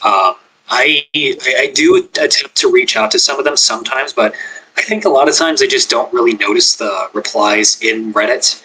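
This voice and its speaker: A man's voice: mid-range voice, normal speed, pushed and bright, husky, poor audio. A man who writes scripts, talking on a podcast in a hesitant way, often repeating his words. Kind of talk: casual podcast chat.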